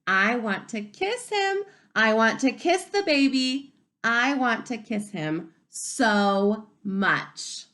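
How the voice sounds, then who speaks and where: Woman acting an excitable, adoring storybook uncle. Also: a little high. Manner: exclamatory, drawn-out, emphatic words.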